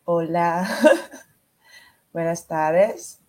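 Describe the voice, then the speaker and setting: A woman from Brazil presenting her network on a video call. She speaks slowly and carefully so that others can follow. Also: on the low side, fairly even intonation, darkish tone. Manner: deliberately slow and careful. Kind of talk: presenting in a virtual meeting.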